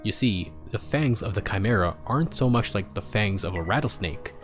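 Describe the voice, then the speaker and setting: A man's voice: on the low side, lively intonation, normal speed, soft background music, natural voice. A man reading as a pony doctor dictating grim research notes in a formal, clinical manner, for a storybook. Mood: clinical, detached.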